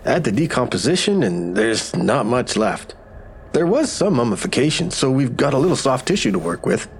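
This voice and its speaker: An actor playing a wry, matter-of-fact medical examiner who explains with morbid humor in an audio drama. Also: male voice, medium pitch, very animated, normal speed, vocal fry, slightly rough, exaggerated voice.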